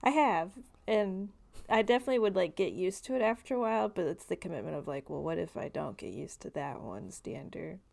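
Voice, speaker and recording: Casual female co-host, slightly low pitch, plenty of vocal fry. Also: expressive, brisk, darkish tone. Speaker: podcast co-host. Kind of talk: casual chat.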